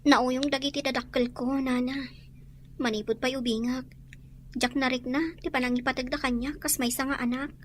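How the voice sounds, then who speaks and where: A woman's voice: high voice, flat delivery, normal speed, slight background noise, natural voice. A woman playing a meek, polite, mistreated young house girl in an Ilocano audio drama. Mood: sorrowful.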